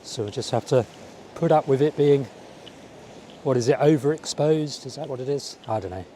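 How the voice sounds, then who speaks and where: A man with a slightly croaky voice from a cold, chatting casually and musing aloud as he walks along outdoors. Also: medium pitch, normal speed, mellow, a little hiss or hum. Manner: rambling, musing aloud to the viewer. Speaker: vlogger. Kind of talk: casual outdoor walking vlog chat. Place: outdoors, walking by a village road and seafront. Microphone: small wireless clip-on mic.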